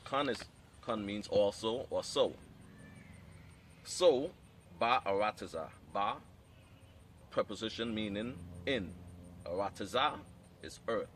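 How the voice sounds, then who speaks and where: Guy w a lowish voice teaching hebrew, slow explaining, bit of background noise. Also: fairly bright tone. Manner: measured, explanatory, reads scripture aloud. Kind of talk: teaching a class. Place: outdoor training camp.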